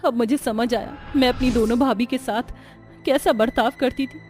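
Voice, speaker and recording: A woman's voice: on the high side, fairly even intonation, normal speed, darkish tone, soft background music, natural voice. A woman voicing a meek, remorseful young bride in a cartoon story, pleading and emotional. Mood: meek, regretful. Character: remorseful, meek young bride, once arrogant, now tearful.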